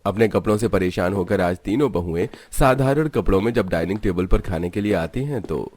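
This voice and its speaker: A man's voice: low-pitched, animated, brisk, a little mellow, dry recording. A man reading scripted narration for a cartoon story as its storyteller.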